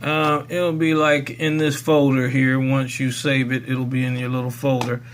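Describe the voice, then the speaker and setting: A man's voice: medium pitch, flat delivery, moderate pace, a little hiss or hum. A man giving a casual, unscripted tutorial in a folksy, rambling way, thinking aloud as he goes. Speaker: presenter of a software tutorial. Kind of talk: casual unscripted how-to walkthrough.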